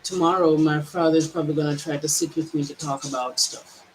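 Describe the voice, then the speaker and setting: A woman from Jamaica, a spiritual vlogger, musing aloud to her livestream viewers in halting phrases, with many restarts and drawn-out words. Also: sits low, steady tone, a little hiss or hum, rough recording. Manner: halting, with frequent restarts and stretched, slowed phrases. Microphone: camera mic.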